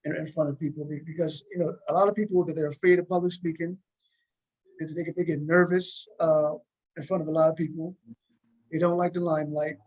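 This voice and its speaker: Halting man conducting a casual interview. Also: on the high side, darkish tone, rough recording. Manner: halting, repeats words and rephrases questions.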